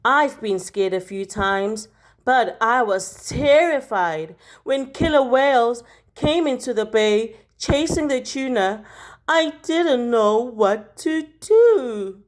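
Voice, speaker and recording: A woman reading a children's picture book aloud like a teacher, expressively, with deliberate dramatic emphasis on key words. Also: a little high, lively intonation.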